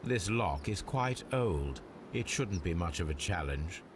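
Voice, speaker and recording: An actor playing a shrewd detective in a video game, speaking in a measured, deliberate, precise way. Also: male voice, low-pitched, lively intonation, noisy background. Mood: musing, deliberate. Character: shrewd detective posing undercover as a lockpick thief. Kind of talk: scripted video game acting.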